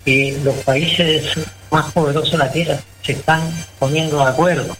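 A man's voice: a little high, steady tone, moderate pace, a bit bright, rough recording. A man with a Chilean accent co-hosting a radio talk show, giving long opinionated commentary with many restarts. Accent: Chilean Spanish. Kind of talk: casual political talk on radio.

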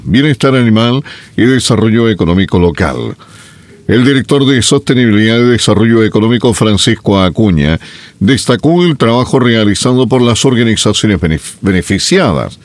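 Chilean male radio newsreader, mid-pitched, chatty asides, dry booth sound. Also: dry recording. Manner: chatty, folksy asides between news items. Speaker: radio news presenter. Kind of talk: local radio news reading with asides.

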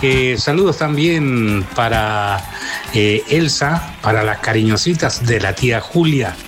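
A man's voice: mid-range voice, animated, music in the background. A Mexican man calling in to a radio show, sending long greetings, his throat a little sore. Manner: warm, unhurried, listing greetings. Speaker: caller. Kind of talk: phoned-in greetings.

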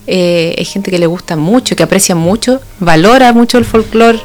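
A woman's voice: mid-range voice, normal speed, faint music behind. A woman from Chile with a Chilean accent, speaking as a guest in a casual radio interview. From Santa Cruz, Chile. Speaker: guest, folk ballet dancer.

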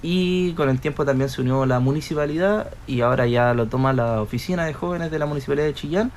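A man's voice: fairly high pitch, quick pace. A man speaking conversationally as a guest in a radio interview. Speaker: municipal youth office worker, guest. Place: radio studio. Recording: faint background noise.

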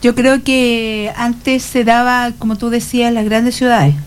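A woman's voice: a little high, flat delivery, unhurried, clear, resonant tone. A woman with a Chilean accent in casual conversation, chatty and given to acting out little everyday exchanges to make her point. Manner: chatty, illustrates points with acted-out everyday dialogues.

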